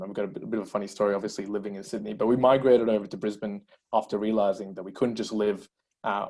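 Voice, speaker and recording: Fast-talking Colombian-born man. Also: fairly low pitch. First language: Spanish. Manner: energetic storyteller, many fillers. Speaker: guest. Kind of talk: casual interview talk.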